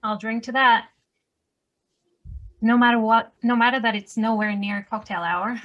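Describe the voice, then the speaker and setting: A woman's voice: on the high side, fairly even intonation, unhurried, a bit bright, muffled recording. A woman reading the part of a wry, sociable mother in a screenplay table read. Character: Sam, wry, sociable mother at her son's party.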